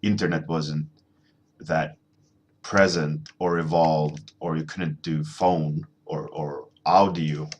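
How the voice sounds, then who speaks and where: Deep-voiced man chatting while drawing. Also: steady tone, a little mellow, muffled recording. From Sweden. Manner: rambling, halting, many ums and restarts, chuckling, answering chat. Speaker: artist streaming a drawing session. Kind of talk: casual livestream chat while drawing. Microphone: desk microphone.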